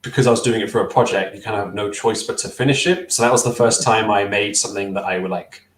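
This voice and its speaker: A man's voice: on the low side, fairly even intonation, fast, slight background noise. Young man on a call, echoey room. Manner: thoughtful, hesitant, many fillers and restarts. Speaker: stop-motion filmmaker guest. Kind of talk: casual interview answers. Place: small room at home. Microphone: headset on video call.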